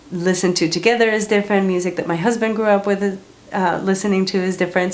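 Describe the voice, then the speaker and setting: A woman from Colombia, talking to her camera about everyday family life in a relaxed, upbeat way. Her voice sits a little lower than most women's. She is chatty and loose, and she drops in filler words as she goes. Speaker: parenting vlogger. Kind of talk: casual chat to camera.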